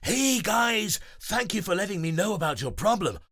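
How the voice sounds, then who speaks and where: A man's voice: high voice, sing-song, normal speed, fairly bright tone, pitched above the performer's own voice, exaggerated voice. A man voicing a tiny, cheerful male flying superhero in a children's storybook reading.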